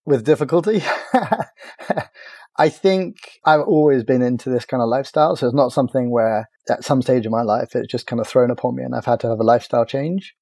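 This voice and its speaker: A middle-aged man, chatting as a podcast guest in a relaxed, halting way full of restarts and fillers. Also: mid-range voice, dry recording, studio quality. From Ireland. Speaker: podcast guest, agency founder. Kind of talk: casual interview chat.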